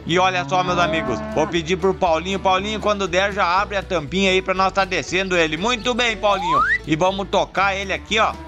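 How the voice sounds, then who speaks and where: A man narrating his toy farm play for viewers in a chatty, friendly way, with drawn-out herding calls to the cattle. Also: sits high. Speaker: toy farm channel host. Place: outdoors in a dirt yard with toy animals. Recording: background music under the voice.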